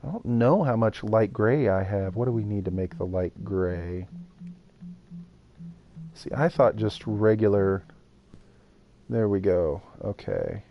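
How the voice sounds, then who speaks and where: A man's voice: fairly low pitch, slow pace, long pauses, highs cut off. A man streaming a building game live, thinking aloud and chatting with viewers. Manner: thinks aloud while building, talks to chat. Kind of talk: casual live gameplay chat.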